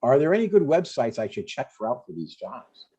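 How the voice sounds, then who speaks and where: A man's voice: mid-range voice, big pitch swings, a little fast, dark tone, husky, dull audio. A man in his sixties playing an earnest, eager but stubborn dad in a conversational comedy table read.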